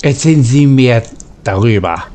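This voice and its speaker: A man with a gravelly voice reading out his character's lines as scripted dialogue for a video game. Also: medium pitch, lively intonation, normal speed, mellow.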